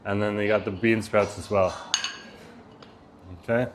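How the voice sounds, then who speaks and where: A man's voice: level tone, a little slow, background noise. A man casually chatting on a live stream from a Vietnamese restaurant, talking easily, reading chat messages aloud and laughing often. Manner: says he has a loud voice and lowers it. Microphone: phone.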